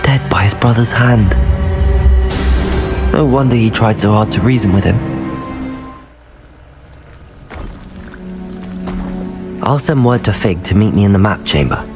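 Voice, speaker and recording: A man's voice: on the low side, normal speed, close to an everyday voice. Man playing a determined young wizard over music. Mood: somber, resolved. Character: earnest, determined young male wizard student. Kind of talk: dramatic video game voice acting. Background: game music.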